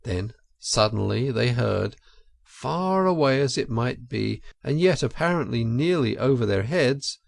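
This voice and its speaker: A man narrating an audiobook story in a measured, expressive and dramatic storytelling style. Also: medium pitch, vocal fry, no echo, studio quality.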